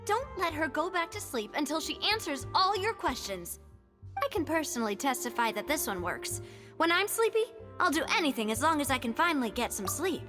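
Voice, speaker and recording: Young gang boss, high voice, lively pitch, sly and teasing, faint music. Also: female voice. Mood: playful. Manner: teasing, sly, with pauses before odd suggestions. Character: young female gang boss, playful and scheming.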